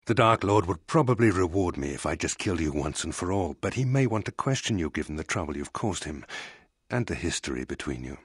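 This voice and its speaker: Deep, husky villainous admiral. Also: male voice, animated, no echo, clean studio sound. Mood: smug, menacing. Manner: formal, deliberate, condescending. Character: menacing, smug older male Sith fleet admiral, cold interrogator. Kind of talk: dramatic video game acting.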